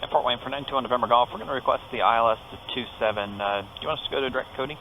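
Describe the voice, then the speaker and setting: A male pilot makes clipped radio calls at a very fast pace. His medium-pitched voice sits in loud engine and radio noise.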